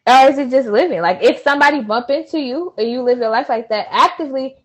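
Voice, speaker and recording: A woman in her twenties, a guest in a casual chat, laid-back and thinking out loud with frequent laughs. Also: high voice, fast talker. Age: 20s.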